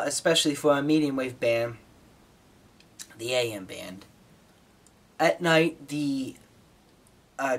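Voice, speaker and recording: An American man giving an informal, explanatory hobbyist talk, somewhat halting, with repeated words as he explains. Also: medium pitch, fairly bright tone. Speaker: hobbyist presenter.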